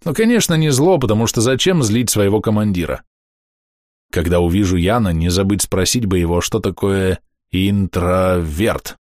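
A man reading a first-person diary aloud in a calm, matter-of-fact, measured way. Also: sits low, no echo, clean studio sound. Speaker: audiobook narrator.

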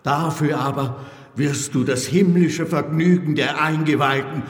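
An actor playing a stern, forbidding priest in a radio play, declaiming gravely. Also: male voice, a little high, fairly even intonation, unhurried. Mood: stern, disdainful. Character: stern forbidding priest scolding an unworthy mortal. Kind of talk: German radio play acting. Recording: echoing hall, long reverb.

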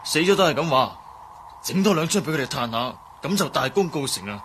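A man's voice: fairly high pitch, sing-song, fairly quick, a bit bright. A man acting a callous hired killer in a Cantonese radio drama. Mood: casual, callous. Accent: Cantonese, Hong Kong. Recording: clear background noise.

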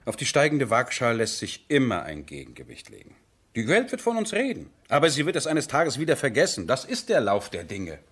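An actor playing a passionate, headstrong nobleman in love, husky and theatrical, in a 1970s German radio drama. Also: mid-range voice, huge pitch swings, a little fast, full character voice. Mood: defiant. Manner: impassioned, theatrical, swinging from hushed to ringing.

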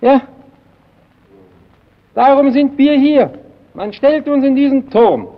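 An actor in a German radio play playing a solitary lighthouse keeper haunted by the sea, speaking haltingly and intensely, swelling and sinking to a near murmur. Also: male voice, extremely high pitch, lively intonation, dull audio, full character voice. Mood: intense, urgent.

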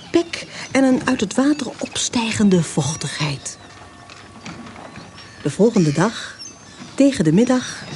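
Female narrator, actress for historical audio drama: measured, literary storyteller, mid pitch, light background noise. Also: darkish tone, natural voice. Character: storyteller of a historical smuggling tale. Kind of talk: scripted radio drama narration.

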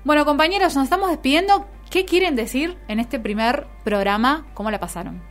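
High-voiced Argentine woman hosting radio. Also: expressive, brisk. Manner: informal, joking with co-hosts. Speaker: radio show host. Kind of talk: casual radio chat about the week's news. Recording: music bed under the voice. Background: music.

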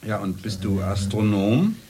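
A man's voice: on the low side, expressive, a little slow, crowd noise. An actor playing a curious, polite male visitor from abroad in a radio drama, asking things tentatively. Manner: tentative, questioning. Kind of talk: naturalistic radio drama dialogue. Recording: loud background noise, clicks.

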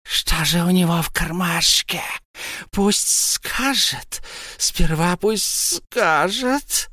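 A miserable, hissing cave creature, whining in a thin, strained voice that sits far above his normal register. He drags out his words slowly and sounds greedy and suspicious. The recording is dry and close, with no room around it. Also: high voice, expressive, bright, forceful tone, no echo, voice pushed up, exaggerated voice. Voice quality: hissing, whiny.

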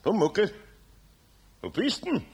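A man playing a kindly, grumbling older Bavarian master carpenter with a husky, gravelly voice in a children's radio play. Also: high voice, wildly swooping pitch, slow, darkish tone, slight background noise, heavily acted. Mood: soothing, patient.

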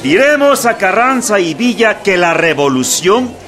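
An actor playing an insistent Mexican revolutionary delegate, declaiming emphatically to an assembly in a radio drama. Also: male voice, high voice, sing-song, measured pace. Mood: emphatic, demanding. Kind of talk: historical radio drama acting. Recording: crowd and music behind.

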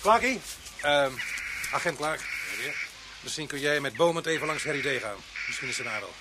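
An actor playing a gruff, sarcastic local police sergeant in a radio drama, with a dry, terse manner. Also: male voice, a little high, animated, moderate pace, heavy background noise, close to an everyday voice. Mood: giving orders. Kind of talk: Dutch radio drama acting.

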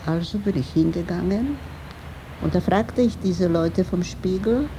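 Gravelly older woman reminiscing. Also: on the low side, measured pace, mellow. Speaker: interviewee. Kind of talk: spontaneous reminiscence. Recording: noisy location recording with vehicle sounds.

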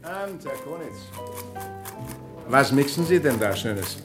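An actor playing a shrewd, persistent police inspector in a vintage radio crime drama, blunt and probing. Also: male voice, medium pitch, very animated, unhurried, over music. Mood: wry, probing. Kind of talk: German radio crime play acting, 1950s to 80s.

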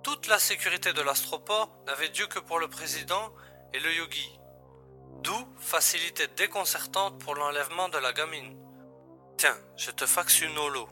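A man's voice: on the high side, normal speed, pushed and bright, faint music behind. A man acting a wry agency boss who gives an urgent, matter-of-fact briefing in a science-fiction audio drama. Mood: wry, explaining.

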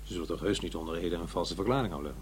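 Male actor as middle-aged divorced father, very low pitch, halting confiding delivery, uneasy, radio play, faint hum. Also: lightly acted. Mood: guarded, questioning. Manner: halting, hesitant, self-interrupting. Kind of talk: radio drama monologue and dialogue, conversational confiding delivery.

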